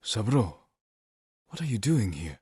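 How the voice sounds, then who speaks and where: An actor playing a stern, honorable samurai warrior in a video game, with a husky voice and a measured, terse, commanding manner. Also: male voice, lowish voice, sing-song, a little mellow, no echo, studio quality, exaggerated voice. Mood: stern, resolute. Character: stern honorable adult male samurai warrior, dutiful and weary. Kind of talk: video game character voice lines.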